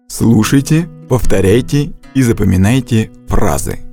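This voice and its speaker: A man reading scripted narration for a language course, with slow, deliberate instructional delivery and a close studio mic. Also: on the low side, creaky, mellow, soft background music.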